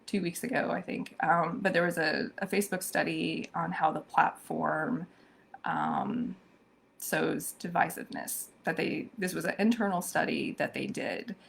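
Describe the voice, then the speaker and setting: A hoarse woman hosting a live-streamed social media commentary, thoughtful and full of ums and restarts. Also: low-pitched, expressive, measured pace. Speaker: social media show host.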